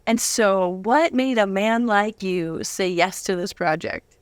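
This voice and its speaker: A woman hosts an upbeat studio interview. She talks in a chatty, effusive manner at an average pitch for a woman, and the sound is close and dry with very little room. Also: slow, smooth, no echo. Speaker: interview host. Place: recording studio.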